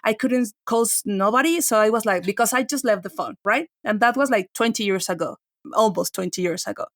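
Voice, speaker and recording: A woman's voice: medium pitch, fairly quick, no echo, clean studio sound. A woman in her forties, a tech journalist chatting on a podcast, talking loosely with many restarts and "like"s. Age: 40s. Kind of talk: casual podcast chat.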